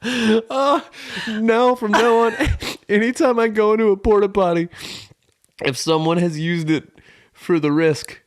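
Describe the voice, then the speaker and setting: A man's voice: high-pitched, fairly even intonation, unhurried, dry recording, studio quality. A man co-hosting a podcast in casual comedic banter, telling jokey stories with emphatic repetition. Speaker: podcast co-host.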